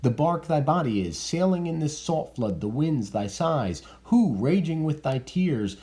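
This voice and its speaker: A man's voice: on the high side, sing-song, a little slow, warm tone, pitched above the performer's own voice. A man reading the part of a grieving, blustering noble father in a raised, declamatory voice. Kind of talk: dramatic reading of verse.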